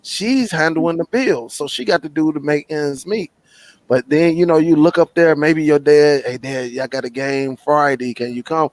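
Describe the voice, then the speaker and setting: A man's voice: a little high, moderate pace, dry recording. A man talking as a guest in a casual live chat, telling a heartfelt personal story earnestly.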